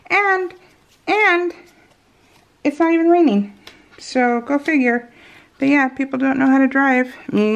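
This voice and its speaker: An American woman chatting casually as she narrates a craft project, rambling and giggly, with slightly unusual soft R sounds. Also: medium pitch, gravelly. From California, US. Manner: giggly, rambling, self-interrupting. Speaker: craft vlogger. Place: indoors at home, at a craft table.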